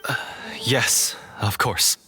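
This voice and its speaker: An actor playing a contrite, earnest young hunter in a video game, with a halting, formal way of talking. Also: male voice, natural voice. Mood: remorseful. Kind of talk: game voice acting. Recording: music behind the voice.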